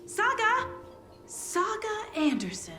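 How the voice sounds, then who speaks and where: An effusive, sweetly tactless small-town woman in a video game. Her pitch swoops as she gushes and lingers slowly on dramatic pauses, with music clearly behind her. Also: wildly swooping pitch, heavily acted. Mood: gushing.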